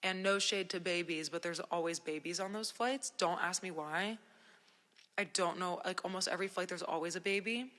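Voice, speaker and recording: A young woman from New Jersey, an independent musician, chatting casually with viewers on a livestream, her voice worn and hoarse from a recent cold, talking in a relaxed, rambling way full of fillers. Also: on the low side, normal speed, in a large hall. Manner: laid-back, rambling, many "like" and "um" fillers.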